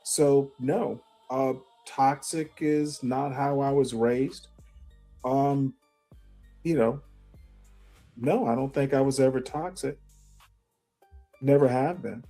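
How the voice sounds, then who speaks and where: A man on a podcast panel answering jabs with measured, formal-sounding comebacks in a heated but casual back-and-forth. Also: mid-range voice, dark tone, soft background music.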